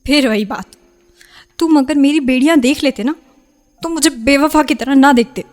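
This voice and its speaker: Actress as an anguished young woman, high-pitched. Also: normal speed, darkish tone, natural voice. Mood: defensive, hurt. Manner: pleading, defensive. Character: anguished young woman torn between lover and parents. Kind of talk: Hindi radio play acting.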